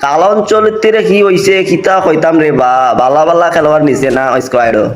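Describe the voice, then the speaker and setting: A man voicing an easygoing adult male cartoon footballer, speaking Bengali with a Sylheti accent in a folksy, drawn-out way. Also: on the high side, lively intonation, reverberant, natural voice. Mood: consoling. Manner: folksy, drawn-out dialect speech. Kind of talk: cartoon voice acting.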